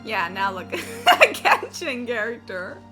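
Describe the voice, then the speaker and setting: High, animated woman streamer with bright voice. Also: slow pace, soft background music. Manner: rambling, candid, slangy, playfully sarcastic. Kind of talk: casual livestream chat while gaming.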